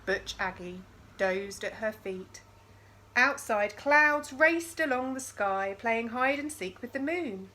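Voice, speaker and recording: A woman reading a picture book aloud to young children in an expressive storytelling style, with chatty questions to the listeners. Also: a little high, slow pace. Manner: addresses young listeners with questions and dramatised sound words.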